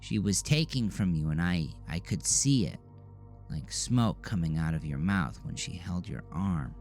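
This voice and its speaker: A man's voice: deep voice, expressive, unhurried, darkish tone, faint music behind. A man voicing a solemn eight-year-old boy, matter-of-fact and knowing beyond his years, in an audio drama. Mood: solemn, insistent.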